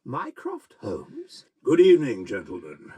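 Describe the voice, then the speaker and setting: An actor playing a confident, theatrical English gentleman detective of the Edwardian era, declamatory and precise, in a period audio drama. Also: medium pitch, wildly swooping pitch, unhurried, slightly rough, no room sound, heavily acted. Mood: arch, exclaiming.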